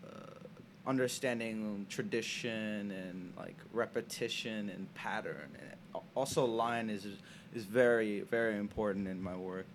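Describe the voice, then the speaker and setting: A man's voice: lowish voice, steady tone. Young male art student from Senegal, casual and hesitant, faint room noise. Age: young adult. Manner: halting, many restarts and fillers. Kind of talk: casual interview chat. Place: small college radio booth.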